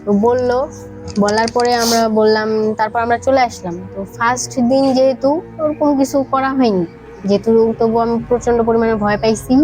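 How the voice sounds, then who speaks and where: A woman's voice: a little high, steady tone, normal speed, darkish tone, poor audio. A young woman with a Bangladeshi accent recounting a personal ghost experience in a conversational way as a podcast guest. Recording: music playing behind the voice. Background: background music.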